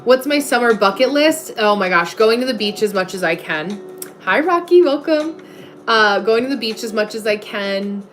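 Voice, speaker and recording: A woman's voice: fairly high pitch, expressive, normal speed, fairly bright tone. A woman hosting a livestream in a casual, chatty way, replying to comments and breaking off to greet viewers. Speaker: livestream host. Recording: music playing behind the voice. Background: music.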